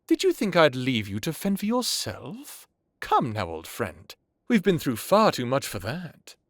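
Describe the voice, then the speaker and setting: A man playing an ancient, courteous, world-weary vampire lord in an audio roleplay, speaking in a measured, knowing, genteel way. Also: a little high, wildly swooping pitch, no room sound, studio quality. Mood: reassuring. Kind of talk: dramatic audio roleplay acting.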